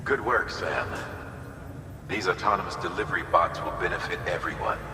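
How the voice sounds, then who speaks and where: A deep-voiced actor as a male commander, measured and reassuring, in a video game cutscene. He sounds distant and echoey, with clear noise around him. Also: close to an everyday voice. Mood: encouraging. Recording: distant, echoey, noisy hall.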